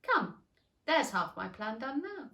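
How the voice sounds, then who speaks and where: A polite, curious little girl in a storybook, played by a woman, speaking earnestly and a touch primly. The voice is high and lilts with lively intonation in an echoey room. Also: takes it slowly, full character voice. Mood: musing. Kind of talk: storybook character reading. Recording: roomy, echoing room.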